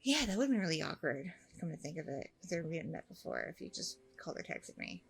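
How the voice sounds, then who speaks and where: A woman's voice: sits low, very animated, brisk, creaky, breathy edge, heavily acted. A woman playing a friendly, flustered, flirty single mom on a first date in an ASMR roleplay, chatty, hesitant and gushing. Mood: warm, eager. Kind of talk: ASMR roleplay acting, one side of a dialogue.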